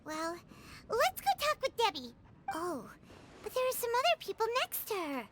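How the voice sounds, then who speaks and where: A woman voicing a small, excitable, childlike female fairy companion in a video game, squeaky and exclamatory. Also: normal speed, heavy background noise, full character voice. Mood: curious. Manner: speaks of herself in third person, exclamatory. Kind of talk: animated video game voice acting.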